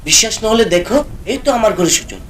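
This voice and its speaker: A man's voice: high-pitched, sing-song, quick pace, a little hiss or hum, pitched above the performer's own voice. A man voicing a tired, kindly village doctor in a cartoon ghost story, full of questions and exclamations. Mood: disbelieving, alarmed. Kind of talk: cartoon horror story acting.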